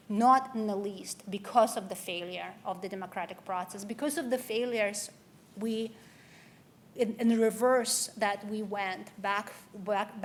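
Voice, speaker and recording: Keynote speaker, woman, from Russia. Earnest, personal, mid-pitched, some ums and restarts. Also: slight background noise. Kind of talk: conference keynote speech. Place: event hall.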